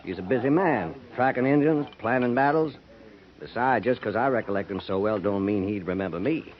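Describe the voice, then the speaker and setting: Weathered American trooper, a male actor's role, hesitant, rambling, quietly troubled, muffled vintage radio drama recording. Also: on the low side, fairly quick, natural voice. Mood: deferential, sly. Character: older veteran cavalryman, folksy, brooding, quietly troubled. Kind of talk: 1950s American radio western acting. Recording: dull, noisy 1950s radio transcription.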